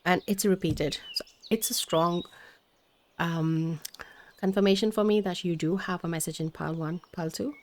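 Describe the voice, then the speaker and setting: A woman tarot reader whose first language is Hindi, her voice sitting a little low. She talks gently and meanders, often pausing on fillers and restarting, with birds chirping faintly behind her. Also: steady tone, a little hiss or hum. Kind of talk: spiritual tarot reading.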